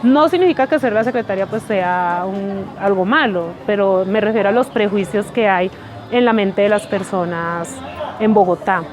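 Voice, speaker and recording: A woman's voice: medium pitch, normal speed, music in the background, a crowd in the background. A woman in her thirties with a Colombian paisa accent, a lawyer telling of her own experiences in an earnest, recounting way. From Pereira, Colombia. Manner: earnest, recounting, imitates others' voices. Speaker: lawyer interviewee. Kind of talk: personal testimony.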